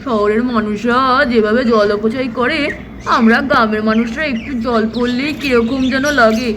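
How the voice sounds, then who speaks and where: A woman's voice: fairly high pitch, steady tone, normal speed, noisy background, close to an everyday voice. A woman voicing a bossy, chatty village farmer husband in a children's doll cartoon. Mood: chatty, contented. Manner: instructing, chatty, repetitive urging. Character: village farmer husband, bossy, fitness-proud, fond of exercise. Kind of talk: acted children's doll-play cartoon dialogue.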